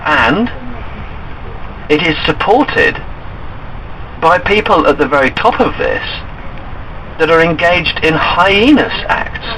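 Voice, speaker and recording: A man's voice: a little high, sing-song, slow, pauses often, sharp tone. A man on a phone call, earnestly arguing his case and insistently pressing his points. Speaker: caller. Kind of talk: argumentative phone conversation. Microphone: telephone call. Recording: noisy recorded phone call.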